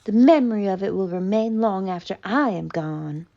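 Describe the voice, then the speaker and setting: A woman voicing a haughty, melodramatic, traumatized female cat in a pompous, mock-tragic theatrical monologue with deliberate pauses. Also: mid-range voice, animated, gravelly, dark tone, dull audio. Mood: anxious, dreading.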